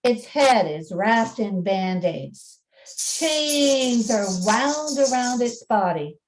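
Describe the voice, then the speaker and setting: A woman's voice: fairly high pitch, animated, slow pace, rough recording. A woman reading scripted dramatic narration for a radio play.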